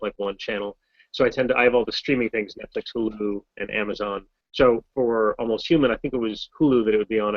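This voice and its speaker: A man with a fairly low voice, chatting loosely and poking fun at himself as he answers fan questions. The sound is dry and dull, like audio from an online video call. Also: no echo. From Massachusetts, US. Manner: chatty, rambling, self-deprecating. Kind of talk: casual Q&A chat.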